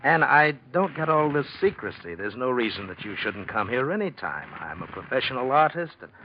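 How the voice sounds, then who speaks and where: An actor playing a reluctant, uneasy artist in 1940s radio drama style, hesitant and evasive. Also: male voice, medium pitch, big pitch swings, brisk, natural voice. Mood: firm, exasperated. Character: uneasy married male portrait painter, reluctant and tense. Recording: muffled old radio recording with hiss.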